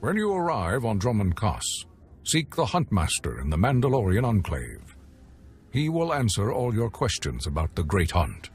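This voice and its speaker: Deep, lilting and ceremonious, a stiff official oversees a deadly hunters' contest in a game scene. Each word is precise and formal, the pitch rolling low yet lively, with soft music beneath. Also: male voice, moderate pace, slightly rough, exaggerated voice. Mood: measured. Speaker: tournament official. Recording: music behind.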